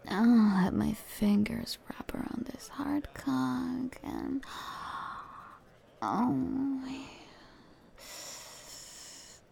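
A woman speaking in a soft, intimate, whispered roleplay, soothing and coaxing, with pet names and murmured hums. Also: high-pitched, extremely slow, gravelly, pauses often.

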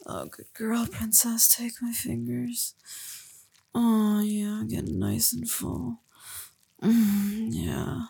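A woman murmurs in an intimate, whispered roleplay, her voice husky and airy with a gravelly edge. She takes her time, speaking slowly and softly. The recording is close and dry, with no room sound around her. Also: expressive, no room sound. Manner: soft breathy whispering, close to the listener; low murmured coaxing with sighs. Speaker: audio roleplay performer.

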